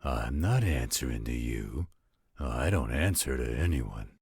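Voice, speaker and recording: A man voicing a gruff, cocky male werewolf with a husky, gravelly voice and blunt delivery in a roleplay drama. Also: extremely low pitch, animated, a little slow, darkish tone, no echo, studio quality, full character voice. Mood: defiant. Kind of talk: scripted roleplay audio drama.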